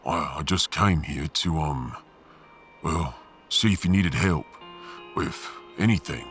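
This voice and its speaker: Man doing a very deep, earnest workman. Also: a bit bright, exaggerated voice. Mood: awkward, pleading. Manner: halting, earnest, plain-spoken. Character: big, remorseful, rough-hewn Australian refugee handyman, former miner. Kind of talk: sci-fi audiobook character acting. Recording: music behind the voice.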